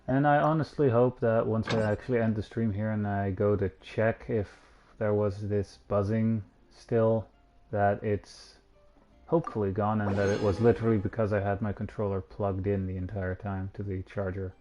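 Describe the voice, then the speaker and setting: Male streamer, slightly higher-pitched voice, casual running commentary, clear game music underneath. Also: flat delivery, moderate pace, mellow, highs cut off. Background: video game music.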